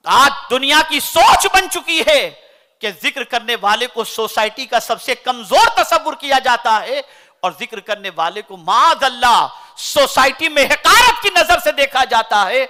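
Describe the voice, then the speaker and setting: A man from India, preaching a sermon in an oratorical style that swings between loud declaiming and soft recitation. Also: very high voice, lively intonation, measured pace. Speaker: Islamic preacher.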